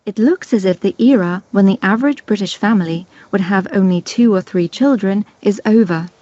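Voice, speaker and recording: Woman announcer for an exam recording, slow even read, mellow tone, dry dull playback. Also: medium pitch, dry recording. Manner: slow, clear, even reading.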